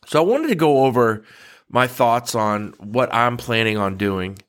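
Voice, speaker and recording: A man's voice: mid-range voice, lively intonation, measured pace. Male podcast host with a head cold, nasal, chatty, lots of "uh"s and restarts. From United States. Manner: conversational, frequent fillers and restarts. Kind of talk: casual podcast talk.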